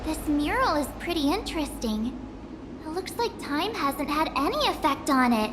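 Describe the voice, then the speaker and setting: Childlike game companion girl, high voice, chipper, frank, casual, music behind. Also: big pitch swings, moderate pace. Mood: curious. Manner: casual, chatty.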